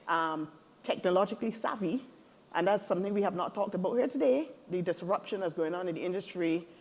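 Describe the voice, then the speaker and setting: A woman's voice: lowish voice, darkish tone. A woman speaking earnestly on a webinar panel, building her points in emphatic lists.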